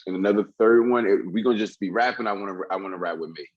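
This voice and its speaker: A man, a rapper with a very deep voice, talking animatedly in a casual interview, often laughing and restarting his sentences. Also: racing, muffled recording, crowd noise.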